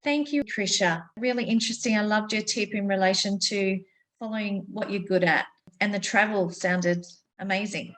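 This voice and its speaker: A woman's voice: medium pitch, moderate pace, fairly bright tone. A woman hosting a webinar over a video call, thanking one speaker and introducing the next. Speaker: webinar host.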